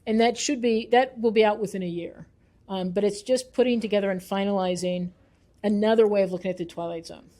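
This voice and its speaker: A woman researcher talks in an interview with a mellow, soft-edged voice. She explains her views earnestly and leans on key points with emphasis, in a thoughtful, conversational way. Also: mid-range voice, fairly even intonation, a little fast. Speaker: researcher and author being interviewed.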